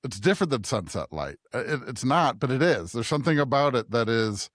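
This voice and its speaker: Thoughtful, halting American man, dry sound. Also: medium pitch, very animated, fairly bright tone, no echo. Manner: thoughtful, halting, searching for words. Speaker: podcast co-host. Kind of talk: conversational discussion of scripture.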